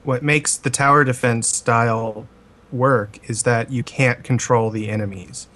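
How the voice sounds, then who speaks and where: Casual man with a medium-pitched voice. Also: steady tone, a little slow, sharp tone. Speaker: podcast co-host. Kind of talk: casual chat.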